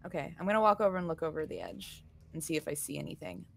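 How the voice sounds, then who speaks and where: A woman's voice: low voice, very animated, fast talker, gravelly. A woman chatting casually as a player at a tabletop role-playing game.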